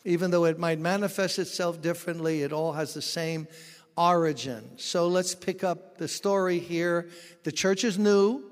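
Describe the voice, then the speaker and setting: A man's voice: on the high side, steady tone, moderate pace, smooth, long reverb tail. A pastor preaching a sermon, explaining scripture and telling stories from his own ministry. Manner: expository preaching with personal stories.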